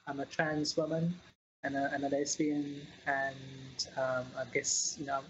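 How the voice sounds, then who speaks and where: Woman scientist talking slow and hesitant, um a lot, pretty monotone, dull lo-fi sound. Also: rumbling low, slight background noise. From New Zealand. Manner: hesitant, many fillers and restarts. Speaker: guest scientist. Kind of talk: casual interview chat.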